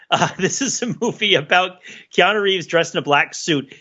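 A man's voice: a little high, sharp tone, muffled recording. A man on a podcast giving casual, analytical film commentary in a wordy, playful, explanatory way. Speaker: podcast panelist.

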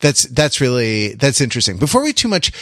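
Male podcast co-host, mid-pitched, very dry sound, hesitant, searching delivery in casual analytical discussion. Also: big pitch swings, brisk, fairly bright tone, no echo. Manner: hesitant and searching, repeats and rephrases himself.

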